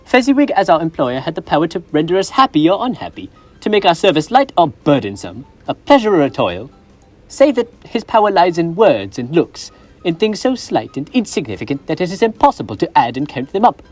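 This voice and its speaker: A woman playing a gruff old miser. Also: fairly low pitch, sing-song, fairly quick, darkish tone, soft background music. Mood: earnest. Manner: gruff, stammering and pleading by turns. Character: miserly older man, gruff and defensive. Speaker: stage actor. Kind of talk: school stage play acting. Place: school theatre stage.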